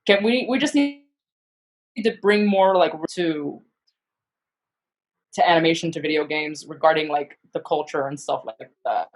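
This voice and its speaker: A woman in conversation as a podcast guest, animated and self-deprecating, with quick asides. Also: low voice, low-quality recording. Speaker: podcast guest, actor and comedian. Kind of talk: casual interview chat.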